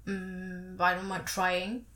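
A woman speaking slowly and hesitantly in a casual chat, her English slightly non-native and broken up by giggles. The recording has a noticeable roomy echo around her voice. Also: fairly low pitch, completely flat delivery, sharp tone. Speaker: guest. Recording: echoey room.

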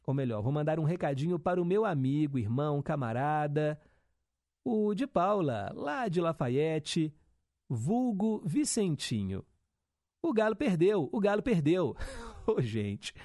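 A man's voice: on the high side, dark tone, dry recording, clean studio sound. A young male radio host, chatting casually and reading listener messages with upbeat teasing asides.

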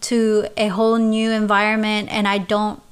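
Young woman from the Philippines, casual confessional talk, smooth clear voice, flat even delivery, halting with restarts. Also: mid-range voice. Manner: halting, with many restarts and soft laughs. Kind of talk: casual personal storytelling, answering questions.

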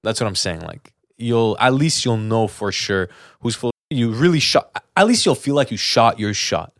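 A man's voice: mid-range voice, expressive, normal speed, fairly bright tone, no room sound, studio quality. A man co-hosting a podcast, talking casually and candidly in an earnest, explanatory, colloquial way. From lives in Los Angeles. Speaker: podcast co-host.

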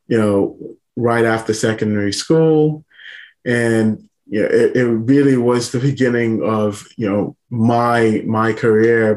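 A man from Ghana, a startup founder, talking about his career as a podcast guest in a relaxed way, with small laughs and many ums. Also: on the low side. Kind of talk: casual interview.

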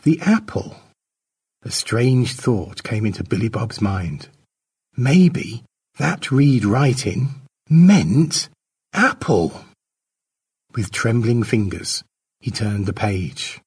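A man narrates a scripted story in a lowish voice that is very husky and rough. His pitch moves widely from phrase to phrase, in the manner of an author reading fiction aloud. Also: sing-song, slow pace, pauses often, a little mellow.

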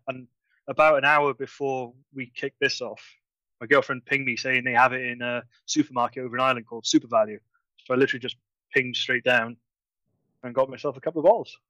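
A man with a bright, forward voice, recorded dry on a video call. He chats eagerly and sometimes trips over his words. His pitch sits in an ordinary middle range. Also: dry recording, low-quality recording. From Wales. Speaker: conference organiser guest. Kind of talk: casual video-call chat.

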